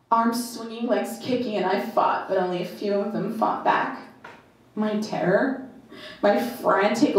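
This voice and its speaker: Slow, echoey woman reading a dramatic monologue. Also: high voice. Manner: deliberate, halting delivery with short phrases and emphatic repetition. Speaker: reader performing a monologue. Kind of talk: dramatic first-person monologue reading at a live event. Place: indoor live performance in a club. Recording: very echoey live venue.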